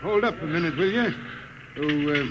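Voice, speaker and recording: A man's voice: a little high, animated, normal speed, highs cut off, close to an everyday voice. An actor playing an awkward, apologetic spaceship crewman with a hesitant, placating manner in a 1950s radio drama. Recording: noisy vintage radio broadcast.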